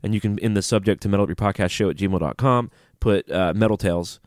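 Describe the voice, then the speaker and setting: A man's voice: on the low side, quick pace, dry recording. Fields: dry-miked male podcast co-host, stuffy nose. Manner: joking, breaks into singing. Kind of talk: casual podcast chat, reading listener emails. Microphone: studio microphone, preamp talk.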